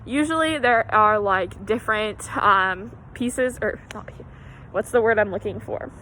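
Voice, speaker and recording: A woman's voice: medium pitch, unhurried, pushed and bright, slight background noise, low-quality recording. A young woman, a student tour guide, talking casually and cheerfully as she walks outdoors across a campus, with lots of fillers and self-corrections. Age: young adult. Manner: chatty, upbeat, many fillers and self-corrections. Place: outdoors on a college campus, near a highway.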